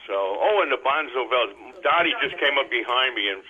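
Older male radio caller, New York accent, chatty, mid-pitched, muffled phone audio. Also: very animated, normal speed, bright, forceful tone, husky. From Brooklyn, New York. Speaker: radio call-in listener. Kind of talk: casual chatty phone call. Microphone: telephone. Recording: dull phone line on radio call-in.

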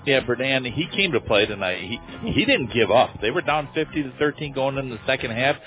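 A man in his late forties, an excited radio color commentator praising a high school player. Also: brisk. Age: 40s. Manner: enthusiastic, emphatic, repeats words. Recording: tinny phone-like radio line with faint music.

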